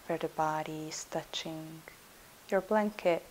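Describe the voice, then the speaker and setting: Woman doing yoga nidra guided meditation, really slow n soothing, bit of hiss in the recording. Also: low-pitched, steady tone, poor audio. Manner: soothing, unhurried, instructing with pauses.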